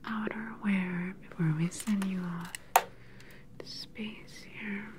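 A woman playing a calm, controlling evil scientist in a sci-fi ASMR roleplay, speaking in a soft, breathy, soothing clinical whisper. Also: lightly acted. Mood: calm, soothing menace. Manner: slow, soothing, clinical personal attention. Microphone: close mic. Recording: faint background lab ambience noise. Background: low sci-fi lab ambience.

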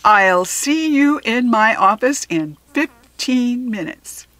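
A woman's voice: a little high, lively intonation, a bit bright, no room sound, voice pushed up. An American woman voicing a stern, angry male school principal from a storybook, emphatic and commanding. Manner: emphatic, commanding, drawn-out words.

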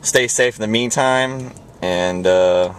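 A man hosting a casual, joking interview with friends during a car ride. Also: on the low side. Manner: joking, quick to laugh. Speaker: vlog host interviewing friends. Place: inside a car on the road. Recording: noisy moving car interior. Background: car and road noise.

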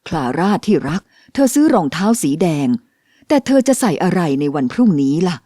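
A woman voicing a gentle, patient mother in a dubbed cartoon, speaking in a soothing, coaxing way. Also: on the low side, very animated, a little fast, slight background noise, voice pushed down. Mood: gently warning. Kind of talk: cartoon dubbing.

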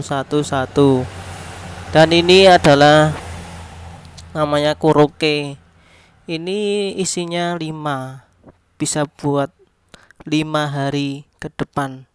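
A vlogger narrating casually in short, halting phrases, recorded indoors close to a phone. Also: mid-range voice, clean tone, no echo. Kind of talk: casual vlog narration over close-up shots.

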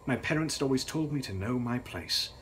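A young man narrates gothic fiction with grave theatricality. His delivery is dramatic and mid-pitched, with faint noise behind it. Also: expressive, a little fast, fairly bright tone. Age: young adult. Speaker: author reading his novel. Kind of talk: dramatic gothic audiobook-style reading.

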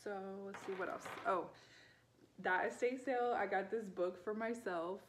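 A woman in her thirties chatting casually in a low-key, offhand way, as if showing finds to viewers at home. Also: moderate pace. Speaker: vlogger and online vintage reseller. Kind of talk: casual haul video chat. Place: small living room at home, indoors. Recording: clear background room noise.

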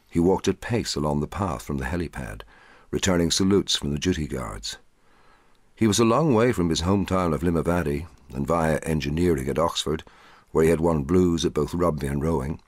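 Deep husky narrator. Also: male voice, no echo, studio quality. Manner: measured, quiet storytelling, dropping low for dialogue. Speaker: audiobook narrator. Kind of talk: scripted narration of a short story.

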